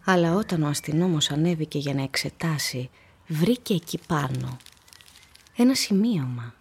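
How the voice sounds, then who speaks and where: A woman narrating a literary radio play with measured, dramatic storytelling. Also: deep voice, expressive, slightly rough.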